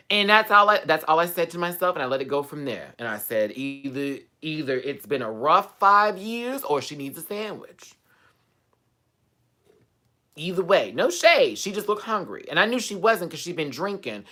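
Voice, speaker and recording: A man from Texas hosts a live chat and runs through reality TV contestants with sassy, profane gossip. His voice is bright and cutting, at a middling pitch. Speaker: host.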